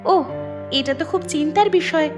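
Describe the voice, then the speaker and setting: A woman's voice: high-pitched, moderate pace, faint music behind, lightly acted. A woman playing a principled young village daughter in a cartoon, earnest and plaintive. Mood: concerned. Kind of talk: cartoon voice acting.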